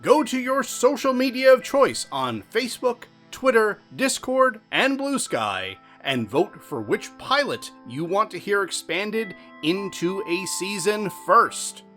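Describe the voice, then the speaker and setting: Male credits announcer, scripted read, lively swings in pitch, guitar behind. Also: slow, few pauses. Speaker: announcer reading closing credits. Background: guitar music.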